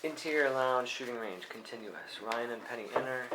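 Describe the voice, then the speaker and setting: A man's voice: medium pitch, a little fast, heavy background noise. A man narrating scene headings and action lines from a screenplay at a table read. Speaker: stage-direction narrator.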